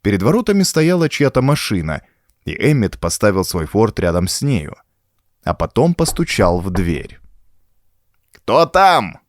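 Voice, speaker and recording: A man reads a horror tale in a dramatic, suspenseful way. His fairly low voice swings widely in pitch as he builds each scene, and the recording is dry and close. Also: normal speed, no echo. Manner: expressive, suspenseful storytelling. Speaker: audiobook narrator. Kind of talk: dramatic scripted narration of a horror story.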